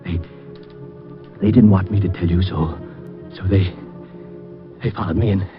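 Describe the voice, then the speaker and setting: An actor playing a frightened, jumpy little man who pleads desperately in old radio drama style. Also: low voice. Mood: dying, gasping. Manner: agitated, halting, pleading. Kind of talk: 1940s-50s radio drama acting. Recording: dull vintage radio recording, loud music.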